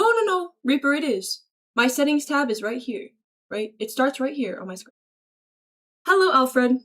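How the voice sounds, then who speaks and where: A woman livestreamer casually reading and answering viewer messages aloud in a slangy, chummy, thankful way. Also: on the high side. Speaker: livestreamer greeting chat.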